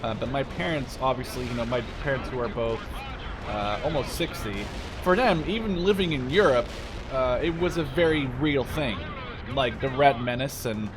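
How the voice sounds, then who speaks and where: A man gives casual, rambling, expressive gameplay commentary in informal English. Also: mid-range voice, normal speed, a crowd in the background. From Netherlands. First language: Dutch. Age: 20s. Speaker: gaming video host. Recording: game gunfire and artillery behind. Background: video game battle sounds.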